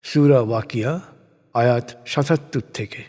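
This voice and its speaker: A man reading a translated scripture as solemn scripted narration, in a measured, declamatory way. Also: medium pitch, a little mellow. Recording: long hall-like reverb on the voice.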